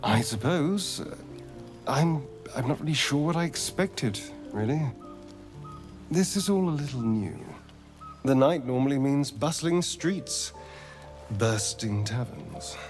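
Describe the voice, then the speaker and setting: A man's voice: mid-range voice, expressive, slow pace, over music. Suave English male vampire, theatrically drawling. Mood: arch, evasive. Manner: arch, drawling, theatrical delivery. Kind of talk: video game character acting.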